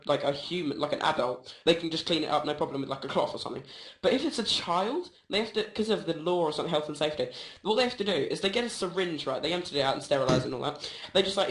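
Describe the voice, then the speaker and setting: A young man telling a rambling, absurd comedy story full of fillers and giggles. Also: fairly high pitch. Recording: echoey room.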